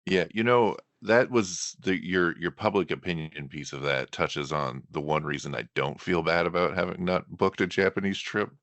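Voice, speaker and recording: Brief: male podcast host, very low pitch, dry close sound, easygoing conversational manner. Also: normal speed, hardly any pauses, no room sound. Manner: relaxed, conversational, uses "like" as a filler.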